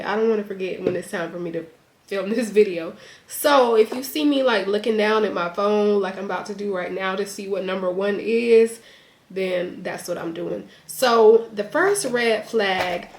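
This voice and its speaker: Young adult woman, conversational vlogger, mildly congested, filler-heavy, roomy echo. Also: medium pitch. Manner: chatty, lots of "like" and "um". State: a bit congested. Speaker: YouTuber. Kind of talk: casual sit-down chat to camera. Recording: echoey room.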